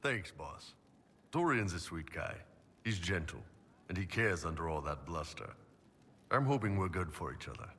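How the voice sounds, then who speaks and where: Husky, low-voiced brute mercenary captain. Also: male voice, sing-song, moderate pace, a bit bright, full character voice. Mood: fond, relaxed. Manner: blunt, casual, wry, swearing. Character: big gruff male horned mercenary captain, blunt and wry. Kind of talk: video game voice acting.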